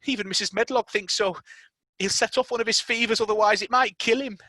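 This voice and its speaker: A storyteller voicing a flustered, kindly young housemaid who talks in a fretful, hurried way. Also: mid-range voice, steady tone, pushed and bright, no room sound, voice pushed up.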